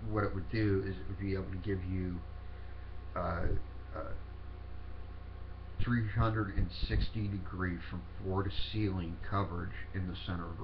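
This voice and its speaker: A man with a cold, sounding sniffly, explaining ghost-hunting camera habits in a casual, halting way full of fillers and restarts. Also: sits low. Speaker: paranormal video host. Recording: dull lo-fi recording with steady noise.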